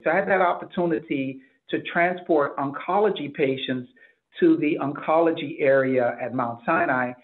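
Middle-aged man on a phone-like video call. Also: on the high side. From the Bahamas. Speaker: hospital chief executive being interviewed. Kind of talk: interview answers about career. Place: office, indoors. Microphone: computer mic on a video call.

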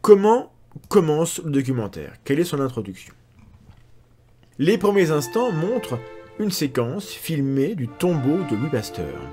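A man's voice: a little high, very animated, a little slow, darkish tone, soft background music. A man in his forties, a science skeptic host, reading a critical analysis to camera with sardonic, ironic asides. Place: indoor room at a desk.

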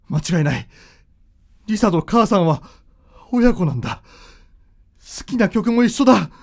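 An actor playing an earnest, intense young doctor, a devoted son, in a radio drama. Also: male voice, very high voice, full character voice. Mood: despairing. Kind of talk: Japanese radio drama acting.

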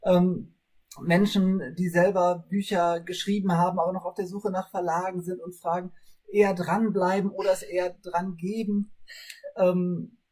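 Husky male co-host, casual. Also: high-pitched, level tone, normal speed, darkish tone, poor audio. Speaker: co-host relaying audience questions. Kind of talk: casual moderation. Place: room with bookshelves, indoors. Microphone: video call.

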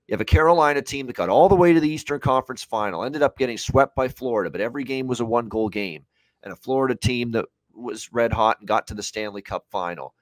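A man's voice: mid-range voice, fast, no echo, rough recording. A man in his thirties giving casual, opinionated sports betting analysis, repeating points for emphasis. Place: indoor room on a video call.

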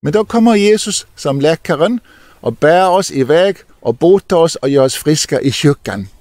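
An older man, a deacon, telling a Bible story to children outdoors in an expressive, lively way. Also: fairly high pitch, no echo. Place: outdoors in an open field.

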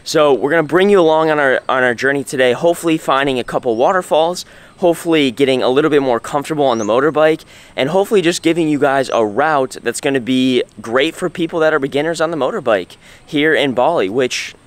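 A man's voice: a little high, fast. A young man talking to a handheld camera at arm's length outdoors, upbeat and enthusiastic as he walks and guides his travel vlog. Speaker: travel vlogger. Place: outdoors on roads and jungle paths. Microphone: handheld selfie camera at arm's length.

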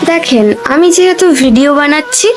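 A young Bangladeshi-accented woman with a high voice speaks in short, casual lines. Her manner is polite and pleading, like someone asking a favour. Music plays clearly behind her. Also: animated, brisk. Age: young adult. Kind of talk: short casual prank voice clips asking game players for money. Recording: background music under the voice.

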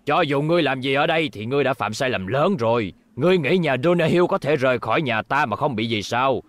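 A man's voice: medium pitch, animated, fast, no echo, close to an everyday voice. An actor playing a menacing, taunting male robot bully who sneers threats in a dubbed cartoon.

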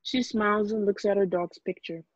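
A woman's voice: mid-range voice, fairly even intonation, moderate pace, dry recording. A woman reading stage directions aloud as scripted narration at a film table read. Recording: dull lo-fi video call audio.

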